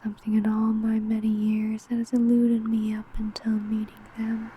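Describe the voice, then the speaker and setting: A woman playing an obsessive, predatory female vampire who poses as a sweet coworker, in a soft, breathy ASMR roleplay. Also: a little high, completely flat delivery, normal speed, a little hiss or hum, lightly acted, poor audio. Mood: enchanted, longing. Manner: soft, breathy, whispery murmuring.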